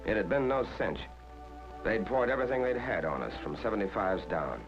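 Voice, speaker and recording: A man's voice: fairly low pitch, expressive, a little fast. A man playing a plain-spoken American infantryman, narrating a 1940s war documentary in colloquial language. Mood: grim pride. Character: plain-spoken American infantryman recounting his first battle. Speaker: film narrator. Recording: dull 1940s film soundtrack, music behind. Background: loud music, artillery fire.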